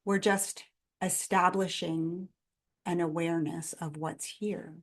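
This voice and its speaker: A woman guiding a body scan meditation in a gentle, calm, instructional manner, in measured phrases with pauses between them. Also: lowish voice. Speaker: meditation guide.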